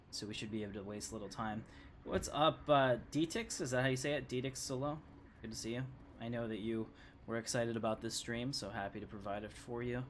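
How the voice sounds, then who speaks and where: A man's voice: medium pitch, fairly bright tone, slight background noise. A man giving casual, chatty gameplay commentary as a streamer. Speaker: game streamer.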